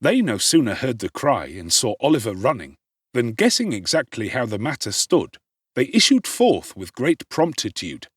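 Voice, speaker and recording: A man's voice: mid-range voice, big pitch swings, normal speed, breathy edge, dry recording, clean studio sound. A man narrating a classic novel in a dramatic, theatrical and emphatic style with precise delivery. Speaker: audiobook narrator.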